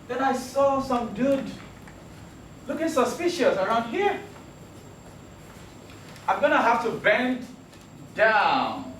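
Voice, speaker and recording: Yoruba-native guy teaching math, joking around, kinda high voice, echoey room far from mic. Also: fairly bright tone, a little hiss or hum. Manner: joking, keeps checking students follow. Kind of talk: classroom math lesson. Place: classroom. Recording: roomy classroom recording from afar.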